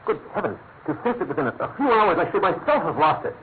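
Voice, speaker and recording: Quick, animated British statesman. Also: on the high side. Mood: agitated, insistent. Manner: rapid, earnest, formal, emphatic. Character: earnest, anxious, elegant middle-aged government minister. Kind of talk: classic radio drama acting. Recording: thin narrow-band old radio recording, faint noise.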